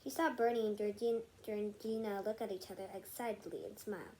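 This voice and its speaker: A woman's voice: mid-range voice, fairly even intonation, a little mellow, poor audio. A female voice reading a picture book aloud, stumbling over and repeating words, with casual asides. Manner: halting, stumbles and rereads words. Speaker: read-aloud storyteller. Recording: home recording with background noise.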